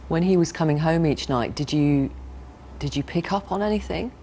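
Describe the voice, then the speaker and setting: Broadcast interviewer, woman. Serious, measured questioning, slight noise in the recording. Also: low-pitched, dark tone.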